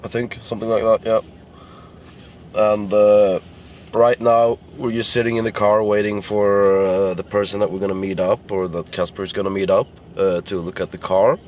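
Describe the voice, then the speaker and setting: A tired young man with a hoarse, worn-out voice, chatting casually to the camera in a rambling, halting way. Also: on the low side, little pitch movement, a little mellow, slight background noise. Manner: rambling, halting with many fillers. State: hoarse, lost voice. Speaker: vlogger. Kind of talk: casual vlog chat. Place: in a car.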